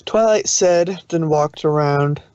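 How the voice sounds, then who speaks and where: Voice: mid-range voice, takes it slowly, darkish tone, clear, resonant tone, no echo. Dull-sounding, dry fan fiction narrator. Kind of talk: scripted story narration with stumbles. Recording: dull, muffled dry audio.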